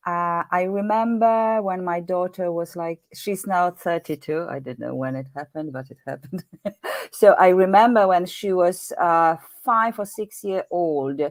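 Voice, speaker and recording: A woman's voice: on the low side, a little slow, dry recording. A woman in her fifties from Poland with a Polish accent, a guest on a podcast interview, speaking earnestly and often repeating words while she searches for them. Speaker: guest, meditation teacher.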